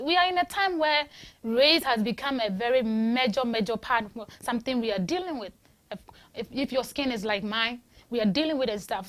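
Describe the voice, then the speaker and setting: A woman's voice: a little high, a bit bright. A woman from Ghana hosting a call-in talk show, chatty and emphatic, often restarting her sentences. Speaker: radio show host. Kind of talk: casual call-in discussion.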